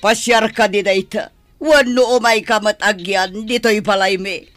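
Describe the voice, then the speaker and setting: A woman acting a stern, scornful middle-aged mother-in-law in a radio drama, with a sharp, demanding manner. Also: medium pitch, a little slow, dry recording, soft background music, natural voice. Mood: curt, suspicious.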